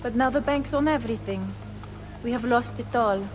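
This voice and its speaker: A sorrowful Italian woman from a fallen household in a video game, played by an actress. Her fairly high voice is plaintive yet formal, with an Italian accent. Music runs clearly behind it. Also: moderate pace, close to an everyday voice. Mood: resigned, sorrowful.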